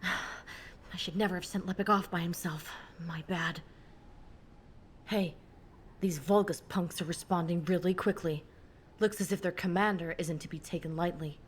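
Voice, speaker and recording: A woman's voice: on the low side, steady tone, moderate pace, a little hiss or hum, natural voice. An actress playing a tough, informal female soldier in a video game, talking in a casual, slangy way. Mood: regretful, determined. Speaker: squad fighter.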